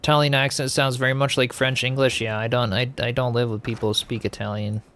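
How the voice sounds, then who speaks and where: A man's voice: medium pitch, flat delivery, fast, fairly bright tone. A man casually chatting while playing a game and answering viewers, easygoing and musing, often trailing off. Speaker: game streamer. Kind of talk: casual gameplay chat, reading viewer comments.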